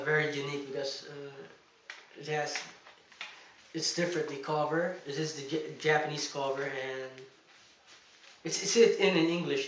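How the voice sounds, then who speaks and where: A man's voice: fairly high pitch, sharp tone, far from the mic, a little hiss or hum. A young man, a Tagalog speaker, chatting emphatically in a casual video game review and laughing between points, recorded indoors. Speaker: gaming vlogger. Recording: echoey room, mic set back.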